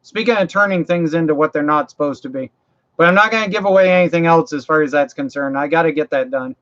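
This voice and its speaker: A man's voice: fairly high pitch, fast talker, a bit bright. A man from Maine hosting a casual livestream, rambling and folksy as he answers viewers' mower-repair questions, his voice getting tired. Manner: rambling, folksy, repeats himself and restarts phrases; practical, walks viewers through mower repairs. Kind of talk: casual live chat. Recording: dull lo-fi stream audio.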